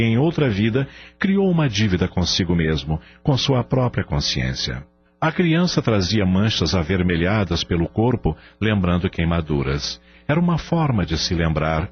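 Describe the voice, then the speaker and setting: A man's voice: low voice, breathy edge. A Brazilian man narrating a radio drama in a scripted, dramatic storytelling style. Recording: dull radio recording with faint music. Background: soft background music.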